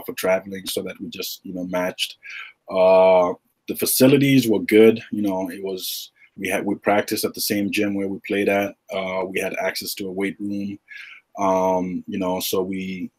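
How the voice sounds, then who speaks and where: A middle-aged man from Uganda, a retired basketball player, answering podcast questions in a relaxed, halting way with many fillers. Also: low-pitched, husky, rough recording. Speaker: guest, retired pro basketball player and agent. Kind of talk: podcast interview.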